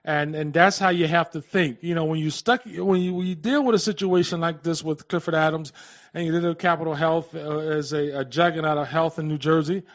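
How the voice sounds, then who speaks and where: An American man hosting a casual live radio talk, agreeable and halting, often repeating his phrases. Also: a little high, fairly even intonation. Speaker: radio host. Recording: dull, lo-fi radio sound.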